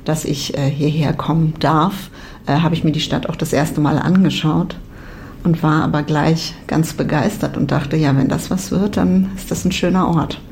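A woman from Berlin in her forties answering interview questions thoughtfully, often pausing and restarting her sentences. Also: low voice, steady tone, gravelly, a little mellow, a little hiss or hum. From Berlin, Germany. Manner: thoughtful, many restarts and hesitations. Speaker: psychology professor.

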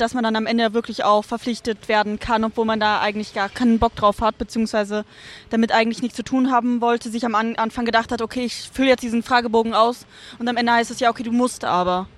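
A woman co-hosting a casual discussion in colloquial, roundabout phrasing. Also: fairly high pitch. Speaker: co-host.

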